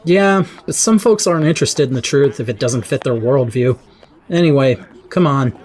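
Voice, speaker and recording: A man's voice: medium pitch, expressive, normal speed, darkish tone, lightly acted. A young man playing a polite, reluctant, down-to-earth teenage boy in audio drama dialogue. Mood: casual.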